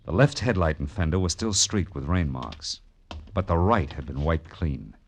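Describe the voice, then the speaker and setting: Low-voiced hardboiled detective. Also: male voice, animated, moderate pace, full character voice. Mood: narrating, intent. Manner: terse, slangy. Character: hardboiled, wry male private detective. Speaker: lead and narrator. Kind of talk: 1940s-style radio detective narration and dialogue.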